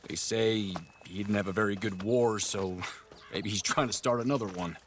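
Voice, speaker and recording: A man's voice: on the low side, moderate pace, a little hiss or hum, close to an everyday voice. A man playing an earnest, courteous army captain in a video game, speaking formally and politely with cautious, dutiful care. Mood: troubled, frank. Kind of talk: dramatic video game acting.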